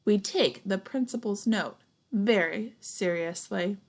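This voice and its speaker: A young adult woman reading a children's picture book aloud expressively, pausing to put questions and comments to young listeners. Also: mid-range voice. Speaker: storybook reader, teacher.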